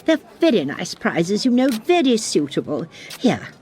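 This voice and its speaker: A woman's voice: medium pitch, huge pitch swings, a little slow, darkish tone. An actress playing a fussy, persistent, genteel lady in a radio comedy, polite and twittery. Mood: eager, genteel. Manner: polite, twittery, insistent, hesitant. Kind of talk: radio comedy drama acting.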